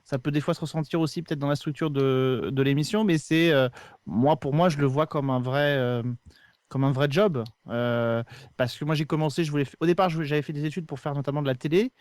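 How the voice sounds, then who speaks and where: Male podcast co-host as interviewee: talkative, explanatory, rapid delivery, voice on the high side. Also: steady tone, a little mellow, no room sound. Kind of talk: casual conversational interview answers.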